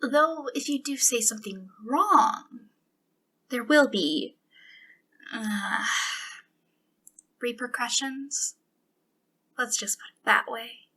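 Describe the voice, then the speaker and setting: Woman performing as unhinged yandere girl, high bright voice, sweetly menacing, breathy ASMR roleplay, lingering pauses, slipping into whispers. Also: heavily acted. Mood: quietly menacing. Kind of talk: ASMR roleplay, intimate acted monologue.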